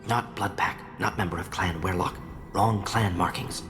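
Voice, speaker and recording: A man voicing a brisk, analytical alien professor in a video game, speaking in clipped, telegraphic phrases. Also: low voice, steady tone, a bit bright. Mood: terse, defensive. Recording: reverberant hall, faint music behind. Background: faint music.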